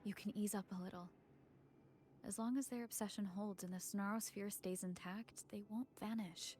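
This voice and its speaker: A woman playing a calm, kind young adventurer in a video game, speaking softly and sincerely in measured phrases. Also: medium pitch, a little hiss or hum, natural voice. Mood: gentle, easygoing. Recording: background music under dialogue.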